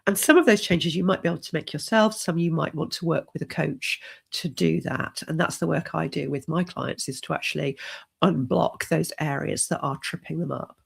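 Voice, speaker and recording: Middle-aged woman, leadership coach with a cold, low gravelly voice, steady and encouraging. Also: moderate pace. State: has a cold. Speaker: leadership coach hosting a live stream. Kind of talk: solo live stream talk.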